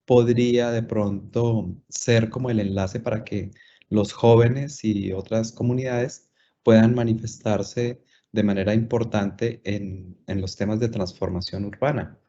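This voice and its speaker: A low-voiced man moderates an online talk, passing audience questions to the speaker and rephrasing them at length. His tone is dark and mellow, and the call audio is dull and lo-fi. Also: fairly even intonation, measured pace, slight background noise. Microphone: video call.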